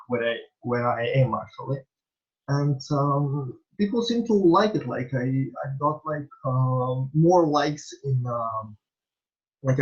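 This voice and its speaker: A young man from Bulgaria, a native Bulgarian speaker with a Bulgarian accent, answering interview questions in a halting way, full of fillers and restarts. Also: mid-range voice, a little mellow. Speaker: interviewee, small vlogger. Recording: dull lo-fi recording.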